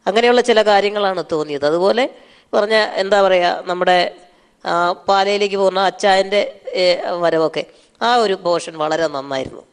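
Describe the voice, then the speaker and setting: A man's voice: sits high, clear, resonant tone. Hesitant man giving judge's feedback. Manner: halting, searching for words. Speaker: comedy show judge. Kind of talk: unscripted judging feedback.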